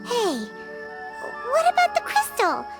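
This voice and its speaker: A woman voicing a tiny, excitable, easily confused fairy girl companion in a video game, squeaky and childlike. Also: takes it slowly, loud music behind the voice, full character voice. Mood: urgent. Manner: squeaky, childlike, exclaiming, third-person talk. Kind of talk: video game character acting.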